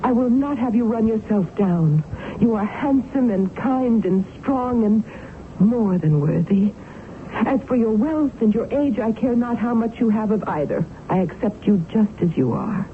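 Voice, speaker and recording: Devoted young fiancée, tinny old radio. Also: female voice, fairly high pitch, lively intonation, measured pace. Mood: tender, earnest. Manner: formal, tender, old-fashioned phrasing. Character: devoted, genteel young fiancée, a general's daughter. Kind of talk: period radio drama acting. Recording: noisy, tinny old radio broadcast recording.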